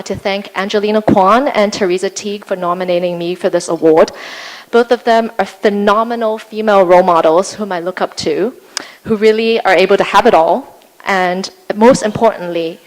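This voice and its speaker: A young woman from Hong Kong giving an earnest, measured award acceptance speech at a podium microphone, slightly formal with small fillers. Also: medium pitch, low-quality recording. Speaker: award recipient. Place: event hall.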